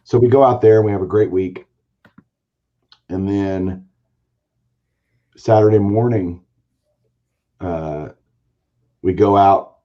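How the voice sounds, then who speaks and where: A man hosting a casual card-collecting chat show, telling a long story in a rambling, animated way with a hoarse, raspy voice. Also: fairly low pitch, takes it slowly, pauses often, muffled recording. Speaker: podcast host, baseball card collector.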